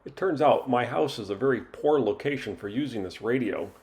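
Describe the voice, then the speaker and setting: A man's voice: mid-range voice, expressive, fairly quick, darkish tone. An older man giving a casual, explanatory hobby demonstration in plain narration. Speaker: hobbyist presenter demonstrating a restored radio.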